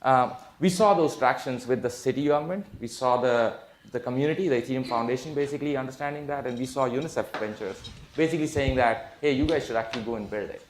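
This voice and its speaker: A man on a conference panel talks at length and often restarts his sentences. The hall gives his voice a clear echo. Faint clicks sit underneath. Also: mid-range voice, quick pace, slight background noise. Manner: rambling, many fillers and restarts.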